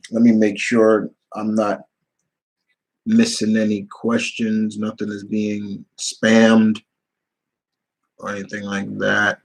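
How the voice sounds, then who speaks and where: A man hosting a casual livestream Q&A, speaking off the cuff in a halting way, repeating phrases and reading viewer questions aloud before answering. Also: on the low side, completely flat delivery, vocal fry, low-quality recording.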